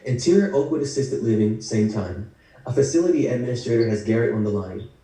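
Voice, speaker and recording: Male stage-direction narrator, scripted read, very relaxed dark tone, far from the mic. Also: mid-range voice, brisk. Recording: echoey room, distant video call mic.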